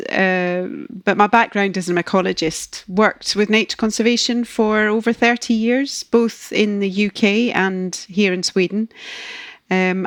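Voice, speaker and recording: A woman's voice: fairly low pitch, no room sound, studio quality. A woman in her fifties from Scotland with a Scottish accent, answering interview questions in a chatty, self-deprecating way. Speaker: guest ecologist.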